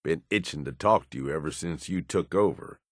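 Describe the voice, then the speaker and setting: Casting: tough American raider lieutenant for a game, very low voice, blunt, wry, folksy, close dry sound. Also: male voice, brisk, no room sound. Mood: persuasive, scheming. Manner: folksy tough-guy drawl, blunt and sardonic. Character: cynical, pragmatic male raider boss's right-hand man. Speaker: game character voice actor. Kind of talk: video game dialogue.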